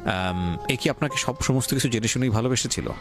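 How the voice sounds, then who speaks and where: A man with a Bangladeshi accent hosting a radio talk show, questioning deliberately and mixing in English words. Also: mid-range voice. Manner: deliberate, mixes English words into his speech. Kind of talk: probing interview, addressing guest and listeners. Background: music.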